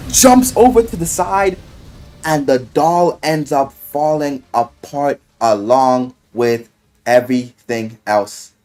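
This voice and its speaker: A man casually retelling a video game story in an animated, rambling, slangy way, with plenty of swearing. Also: medium pitch. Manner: animated, exaggerated, swearing, acts out quotes in mock voices. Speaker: game reviewer.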